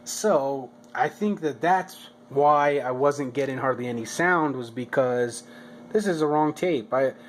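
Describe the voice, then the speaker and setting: A man's voice: mid-range voice, measured pace, slight background noise. A man narrating a hobby project vlog in a casual, hesitant way, often stopping and repeating his words as he goes. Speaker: hobbyist video maker.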